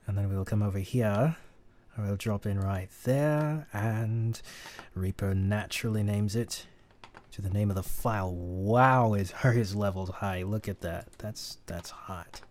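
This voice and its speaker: A man hosting a casual audio editing tutorial, chatting in a relaxed way and laughing at himself. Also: fairly low pitch, slight background noise. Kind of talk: casual screen-recorded tutorial.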